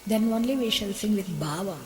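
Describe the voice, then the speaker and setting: A woman's voice: mid-range voice, animated, fairly quick, a little hiss or hum. Woman lecturing in a reverberant hall. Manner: explanatory, switches between English and Tamil. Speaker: music teacher giving a lecture-demonstration. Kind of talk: lecture-demonstration on classical singing. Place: concert hall. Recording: long hall reverb, faint music behind.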